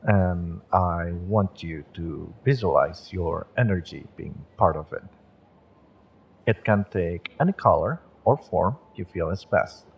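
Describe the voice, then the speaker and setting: A man playing a gentle, encouraging magick shop owner and tarot reader in an intimate audio roleplay, speaking in a measured, mystical, reassuring way. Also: deep voice, vocal fry, husky, full character voice. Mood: calm, guiding.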